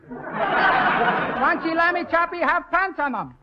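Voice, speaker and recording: Very high-pitched comic servant, broken English. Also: male voice, expressive, slow, full character voice. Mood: impatient, cheeky. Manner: choppy broken English, giggling. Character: caricature foreign male servant on a shopping errand, impatient, cheeky. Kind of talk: 1930s radio comedy acting. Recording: noisy, muffled 1930s radio recording with clicks.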